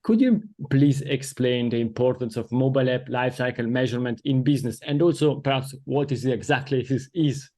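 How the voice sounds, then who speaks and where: A man's voice: mid-range voice, a little fast, few pauses, warm tone. A man with an Italian accent, a native Italian speaker, hosting a podcast interview in careful, formal English. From Italy. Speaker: podcast host.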